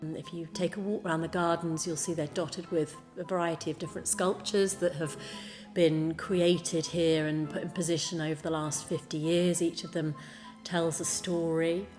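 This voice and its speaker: A woman's voice: fairly low pitch, level tone, moderate pace, a little mellow. A woman representing a college, giving a composed promotional talk. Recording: clear music behind the voice. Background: background music.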